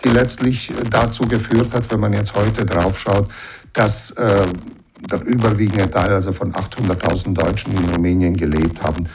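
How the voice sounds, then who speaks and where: A middle-aged man from the Banat in western Romania, answering interview questions in a measured, explanatory way with frequent fillers. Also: on the low side, fairly even intonation, slight background noise. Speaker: interview guest.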